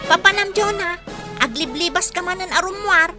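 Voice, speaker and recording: A woman's voice: extremely high pitch, moderate pace, bright, forceful tone, soft background music. A woman playing a bossy, complaining child in a radio comedy drama, speaking with an Ilocano accent in a whiny, emphatic way.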